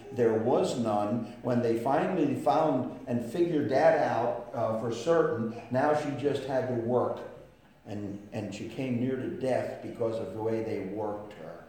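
A man preaching a sermon, swinging between hushed storytelling and loud, impassioned emphasis. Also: mid-range voice. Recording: echoey room with faint noise.

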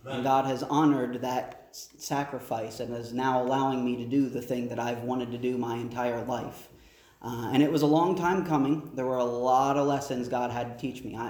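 A man's voice: medium pitch, darkish tone. A man who is a missionary, speaking earnestly and plainly to a church. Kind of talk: church talk. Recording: echoey church hall, faint noise.

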